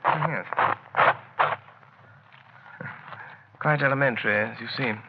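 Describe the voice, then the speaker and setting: An actor playing a shrewd, courteous gentleman detective in 1930s radio drama style, speaking formally and precisely with dry politeness. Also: mid-range voice, brisk, creaky, lightly acted. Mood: calm, assured. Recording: dull, hissy 1930s radio recording.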